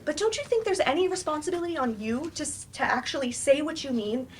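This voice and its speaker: A woman's voice: high-pitched, lively intonation, fast talker, slight background noise. A woman acting in a staged play reading as a frank, exasperated therapist, pointed and confrontational with her patient. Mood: firm, frustrated.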